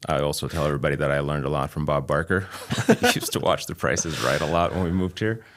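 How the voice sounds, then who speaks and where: A man in his thirties, a native Albanian speaker from Kosovo with a light New England accent, talking as a guest in a measured, reflective way with frequent pauses. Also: extremely low pitch, steady tone, fairly bright tone, slight background noise. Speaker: guest chiropractor. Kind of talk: casual interview chat.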